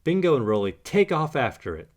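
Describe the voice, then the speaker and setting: A man narrating a children's storybook aloud in an animated read-aloud style. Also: a little high, a little fast. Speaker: storybook reader.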